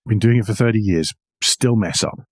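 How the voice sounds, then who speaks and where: Male podcast co-host, middle-aged, lowish pitch, wry and conversational, very dry close recording. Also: a little fast, husky, dry recording. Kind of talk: casual discussion of car news.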